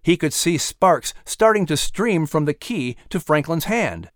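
A man's voice: on the high side, animated, a little slow, no room sound, studio quality. A man narrating an audiobook story in a dramatic, scripted style.

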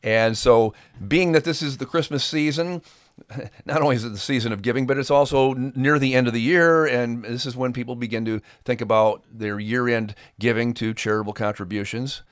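A man's voice: medium pitch, a little fast, no room sound, clean studio sound. A middle-aged man hosting a podcast, speaking to listeners in an earnest, folksy, conversational way. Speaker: podcast host and ministry performer.

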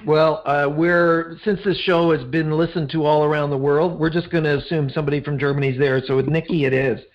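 A man's voice: on the high side, fairly even intonation, brisk, muffled recording, slight background noise. A man hosting a podcast interview, rambling with frequent fillers and restarts. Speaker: podcast host.

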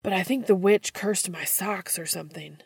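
A woman voicing a flustered, embarrassed young man with a strained, breathy, whining delivery in an audio story. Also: lowish voice, moderate pace, no echo, full character voice. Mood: embarrassed, whiny.

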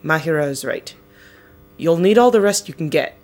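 Low-voiced, weary woman team leader. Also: expressive, moderate pace, a little mellow, faint music behind. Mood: gentle, tired. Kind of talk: audio drama acting.

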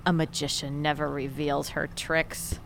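An actress playing an eccentric, sharp-tongued older mother who runs a boarding house, breezy and teasing, in an audio drama. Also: low voice, flat delivery, unhurried, creaky, slight background noise, heavily acted, low-quality recording. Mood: tart, teasing. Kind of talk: naturalistic audio drama acting.

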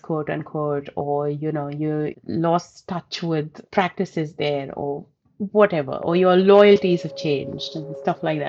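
A woman in her thirties from India with a softened Indian accent, reflecting thoughtfully in an interview, hedging often. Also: on the low side, highs cut off. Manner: thoughtful, hedging, with light laughs. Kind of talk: reflective personal storytelling.

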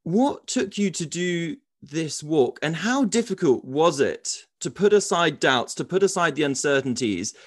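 A man's voice: a little high, animated, measured pace, hardly any pauses, no room sound, studio quality. A man hosting a podcast, reading his introduction and then asking a guest questions in an earnest, enthusiastic way. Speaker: podcast host.

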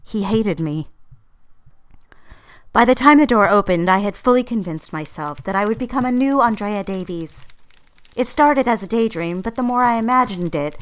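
A woman's voice: mid-range voice, normal speed, smooth, natural voice. An actress voicing an earnest, ambitious young female secretary with wounded feelings in an audio drama. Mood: resolute, dreamy. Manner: expressive, earnest. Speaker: actress narrating and playing lead. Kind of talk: dramatized first-person narration and dialogue.

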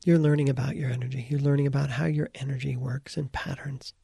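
A man leading a guided meditation as its teacher, speaking in short, deliberate phrases with pauses and a gravelly, husky voice. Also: medium pitch, level tone, mellow, dry recording, clean studio sound.

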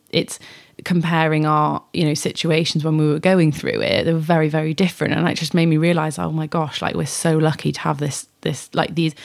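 A woman's voice: sits low, creaky. A woman from North Wales, a podcast guest, chatting casually with lots of restarts and fillers. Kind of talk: casual chat.

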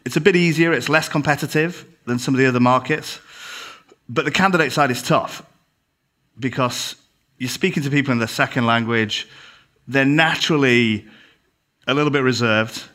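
A man on a podcast, a recruitment business owner, telling lively anecdotes and acting out quoted dialogue. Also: mid-range voice, normal speed, pauses often. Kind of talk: casual podcast chat.

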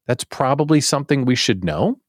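A man hosting a live show in casual chat, jokey and animated, often breaking off his own sentences and throwing out rhetorical questions. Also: mid-range voice, fairly quick, no echo, studio quality. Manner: animated, jokey, self-interrupting, exclaims and asks rhetorical questions. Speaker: host of a daily live show.

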